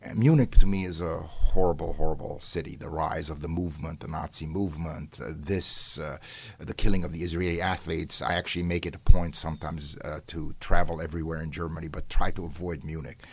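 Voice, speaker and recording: A man's voice: a bass voice, fairly even intonation, a little fast, husky. An older man, a professor whose first language is Hungarian, telling long stories in an interview, rambling with many fillers and restarts, flaring up with animation now and then. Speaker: professor and guest. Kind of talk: conversational interview storytelling.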